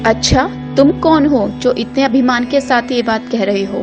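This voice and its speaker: A woman's voice: on the high side, moderate pace, dull audio, natural voice. A woman playing a goddess in the form of a blazing light, composed and taunting as she challenges proud gods in a devotional story. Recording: music behind the voice.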